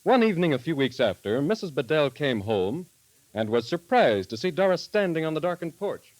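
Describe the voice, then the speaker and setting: A man narrating in the formal, measured, dramatic style of a 1930s radio announcer. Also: fairly high pitch, big pitch swings, a little mellow, no room sound, poor audio. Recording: vintage 1938 radio broadcast recording.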